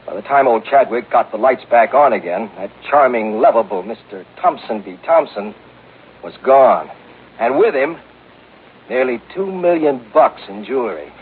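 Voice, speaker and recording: A man's voice: medium pitch, expressive, normal speed, close to an everyday voice. An actor playing a talkative man recounting things in a 1950s radio detective drama. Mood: wry, dramatic. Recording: tinny, noisy old radio recording.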